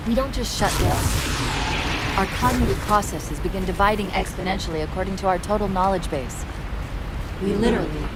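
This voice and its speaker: A woman's voice: medium pitch, brisk, very noisy, natural voice. A woman playing a witty, sardonic artificial intelligence in a video game, wry and articulate. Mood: anguished, exasperated. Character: witty, sardonic female AI companion, fraying. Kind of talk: video game cutscene acting.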